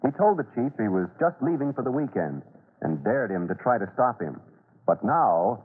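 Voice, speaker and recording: A man's voice: medium pitch, breathy edge, phone-quality sound. A man narrating a classic radio adventure serial in an earnest, suspense-building, dramatic storytelling style on an old recording.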